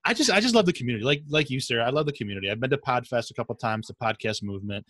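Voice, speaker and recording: A man's voice: mid-range voice, animated, rapid-fire, a bit bright, no room sound. A man hosting a podcast interview in a casual, informal and enthusiastic way. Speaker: podcast host. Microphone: USB desktop microphone.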